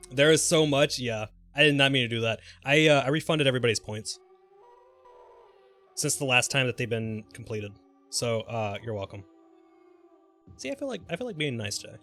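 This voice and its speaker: Expressive man streaming casual gameplay commentary. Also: medium pitch. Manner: reactive, exclamatory, swearing. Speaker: game streamer.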